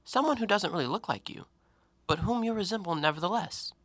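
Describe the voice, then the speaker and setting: An actor in an audio play, playing a talkative, self-assured intellectual who probes and needles with articulate, deliberate theatrical phrasing. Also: male voice, high voice, animated, fairly bright tone, no echo, full character voice. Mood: reflective storytelling. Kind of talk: stage-style audio play monologue.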